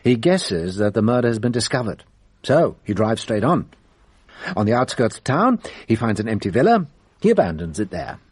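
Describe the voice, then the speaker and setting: A man's voice: mid-range voice, sing-song, moderate pace, darkish tone, breathy edge, no room sound. A man acting in a radio drama as a vain, playful middle-aged French detective, theatrical, self-satisfied and teasing. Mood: assured, brisk.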